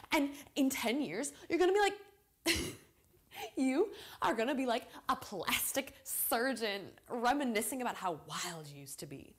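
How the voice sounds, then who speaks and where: Actress as opinionated young American woman, higher voice, argumentative, casual and rambling, contemporary stage piece. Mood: teasing. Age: young adult. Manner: rambling, emphatic, casual filler-laden delivery. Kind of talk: naturalistic contemporary stage monologue.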